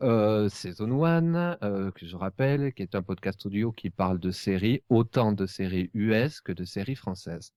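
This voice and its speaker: A man hosting a casual podcast chat, speaking in halting, hesitant phrases. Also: on the low side, expressive, a little mellow, clear, resonant tone, no room sound, studio quality. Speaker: podcast host.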